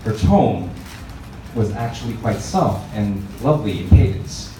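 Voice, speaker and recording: A man's voice: fairly low pitch, expressive, unhurried. A man narrating a story aloud expressively, as a book reader. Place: reverberant room at a live reading event. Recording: echoey room, distant mic, faint noise.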